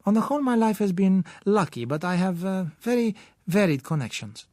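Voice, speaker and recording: A male narrator performs a suave South businessman for an audiobook. His pitch sits raised, his phrasing is measured and courteous, and he slips in soft pauses as he insists. The sound is dry. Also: sing-song, no room sound. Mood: polite, sly. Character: suave, sly South American businessman, polite and gently insistent. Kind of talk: audiobook character voice.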